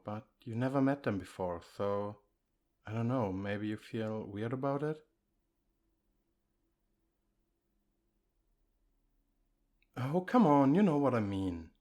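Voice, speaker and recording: A man playing an affectionate, reassuring boyfriend in an intimate ASMR comfort roleplay, speaking in a gentle, coaxing, conversational way. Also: mid-range voice, natural voice. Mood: gently concerned.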